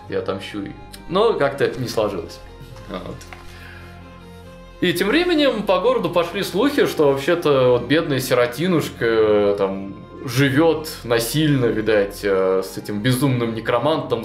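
Young man, storyteller, lively talk, roomy studio, faint background music. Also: medium pitch. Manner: animated, many fillers like "вот" and "ну". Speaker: storyteller guest. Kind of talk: casual storytelling chat. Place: studio with chalkboard wall.

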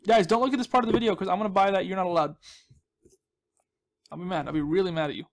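A man's voice: high voice, fairly even intonation, fast. A man hosting a gaming channel with casual, rambling commentary, playful and goofy, often repeating his words and phrases.

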